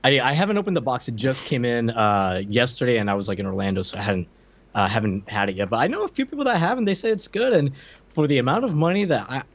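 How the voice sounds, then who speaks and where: A man's voice: mid-range voice, animated, quick pace. Dull-sounding man in casual podcast chat. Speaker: podcast co-host. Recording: dull lo-fi voice track.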